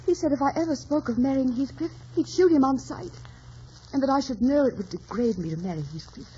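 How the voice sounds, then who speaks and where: An actress playing a willful, passionate young gentlewoman in a theatrical, ardent radio drama style. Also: high voice, brisk, lightly acted. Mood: fervent, torn. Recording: dull, noisy old radio broadcast.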